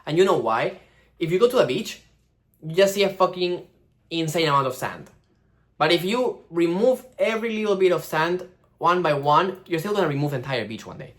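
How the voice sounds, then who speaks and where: A young man giving casual, animated advice on starting a vlog channel, swearing freely and acting out the algorithm in silly voices. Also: on the high side, fairly quick. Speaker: vlogger.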